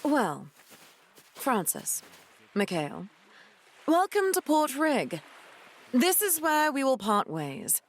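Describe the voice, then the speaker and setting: A woman's voice: sits high, huge pitch swings, slow pace, pauses often, heavily acted. A woman playing a stern, commanding pirate captain with a formal, firm manner, in an audio adventure drama.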